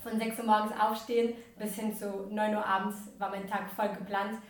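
A woman's voice: medium pitch, flat delivery, normal speed. A young woman from Ukraine chats as a podcast guest. She talks freely, with lots of fillers and restarts. Her voice comes from some distance off the mic, in a very echoey room. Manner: chatty, many fillers and restarts. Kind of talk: casual interview talk.

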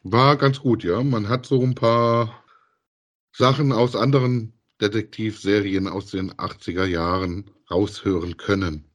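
A man's voice: on the low side, fairly even intonation, a little slow. A man chatting casually as he co-hosts a review of audio dramas.

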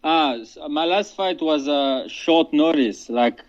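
A man from France, a fighter answering interview questions in halting, casual speech full of restarts and fillers. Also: fairly high pitch, highs cut off. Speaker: MMA fighter.

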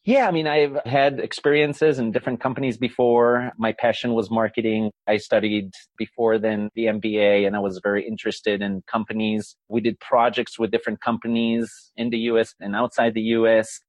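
A man's voice: lowish voice, little pitch movement, normal speed, hardly any pauses, no echo. A man, explaining things earnestly and laughing easily as an interview guest. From Israel. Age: 40s. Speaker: guest, company founder. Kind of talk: conversational interview.

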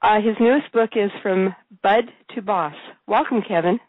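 A woman hosting an interview, hoarse with a cough and a raspy voice, talking in a loose conversational way. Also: medium pitch, normal speed. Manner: conversational with fillers and restarts. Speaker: host and executive coach. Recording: dry tinny phone line.

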